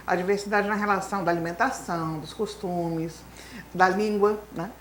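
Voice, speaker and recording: A woman's voice: on the low side, fairly even intonation, normal speed. A woman in her fifties whose native language is Spanish, telling a long story of her childhood as an interview guest, with frequent fillers like "né". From Brazil. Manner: long storytelling, lots of fillers like né and então, assim. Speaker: retired professor, interview guest. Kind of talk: reminiscing life story in an interview.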